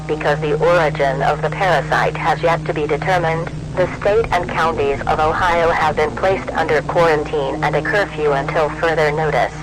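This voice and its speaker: Higher-pitched man reading a noisy emergency alert. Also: fairly even intonation. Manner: formal, measured, impersonal. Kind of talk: scripted emergency broadcast announcement.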